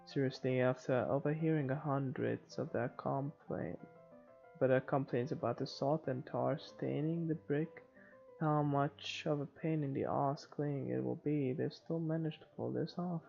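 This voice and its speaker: Gravelly-voiced man reading a game story aloud. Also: medium pitch, steady tone, measured pace. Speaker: video game let's player. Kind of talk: reading visual novel narration aloud. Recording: slightly muffled, music underneath.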